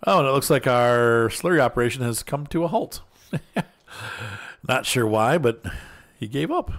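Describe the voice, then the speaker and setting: A man's voice: mid-range voice, lively intonation, measured pace, a bit bright. A man chatting casually and rambling to viewers while playing a farming game, laughing often. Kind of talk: casual gameplay chat.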